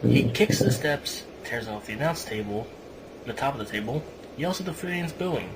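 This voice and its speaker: A man's voice: medium pitch, lively intonation, moderate pace. A man hosting a podcast, giving a casual, sarcastic play-by-play recap. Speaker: podcast host. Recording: clearly noisy home podcast recording.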